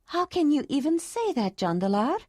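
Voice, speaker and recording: A woman voicing an emotional young woman in an audiobook, raising her voice into a higher, heartfelt tone. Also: very animated, moderate pace, a little mellow, dry recording, studio quality. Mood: tearful.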